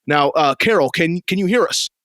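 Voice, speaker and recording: An actor playing a solemn, shaken news anchor in a 1930s-style radio drama, formal and grave. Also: male voice, fairly high pitch, lively intonation, moderate pace, fairly bright tone. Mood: composed.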